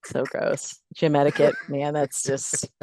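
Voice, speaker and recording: A woman's voice: sits low, completely flat delivery, measured pace, dark tone, slight background noise. A middle-aged woman chatting as a podcast guest, giving emphatic, plain-spoken fitness advice and building her points in lists. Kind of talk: casual interview chat giving advice.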